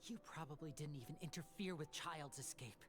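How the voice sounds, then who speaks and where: A woman's voice: low-pitched, brisk, natural voice. A woman voicing a defiant, protective young male magician in a video game, intense and accusing in delivery. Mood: tense, reasoning.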